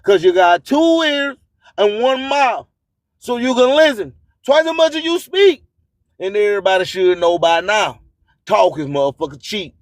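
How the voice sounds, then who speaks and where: A man's voice: high-pitched, animated, moderate pace, dry recording, clean studio sound. A man hosting a radio show, delivering a declamatory, rhyming spoken-word monologue with repeated phrases.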